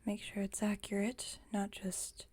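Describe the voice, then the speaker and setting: A woman playing a gentle, wry, nostalgic vampire roommate in an ASMR roleplay, in a soft, breathy whisper and an unhurried manner. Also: mid-range voice, level tone. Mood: wry, musing.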